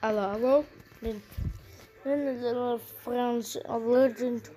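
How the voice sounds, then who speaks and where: A woman voicing a high, halting female character with a robotic synthetic tone. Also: fairly even intonation, mellow.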